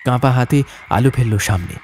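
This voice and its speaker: A man narrating a horror audiobook as a storyteller, with suspenseful, atmospheric delivery and deliberate phrasing. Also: medium pitch, a little mellow, a little hiss or hum.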